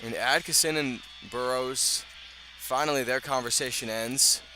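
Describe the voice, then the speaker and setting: A man doing live radio baseball play-by-play, speaking in measured short phrases with pauses between them. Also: mid-range voice, steady tone, over music, poor audio. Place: outdoors at a ballpark.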